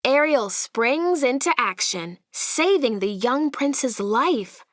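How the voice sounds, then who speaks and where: Unhurried woman reading a children's storybook. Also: sits high, animated, fairly bright tone, no echo, studio quality. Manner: deliberate, dramatic storytelling emphasis. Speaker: storybook narrator. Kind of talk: expressive read-aloud children's storytelling.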